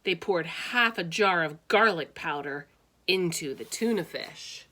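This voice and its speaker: A woman reading a children's storybook aloud with expressive, deliberate phrasing and casual asides. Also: on the low side.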